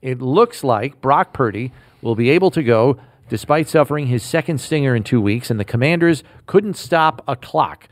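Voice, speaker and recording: A man hosting a sports podcast, running a trivia quiz and making picks in an upbeat, animated way. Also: mid-range voice, normal speed, no room sound. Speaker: host.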